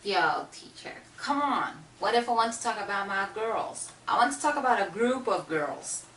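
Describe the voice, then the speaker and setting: A woman's voice: mid-range voice, expressive, normal speed, a bit bright, recorded from a distance, a little hiss or hum, close to an everyday voice. A woman voicing a cheeky student who talks in casual slang and pesters the teacher, heard in an echoey room away from the mic. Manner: brash, casual street slang.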